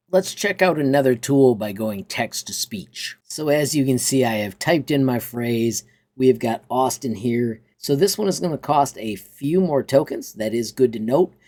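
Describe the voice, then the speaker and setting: A man narrating a casual software tutorial as host, in an upbeat, enthusiastic manner. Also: mid-range voice.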